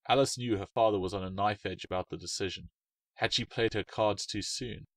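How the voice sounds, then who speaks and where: Low-voiced male storyteller, dry sound. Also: no room sound. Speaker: author and narrator. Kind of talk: storybook narration.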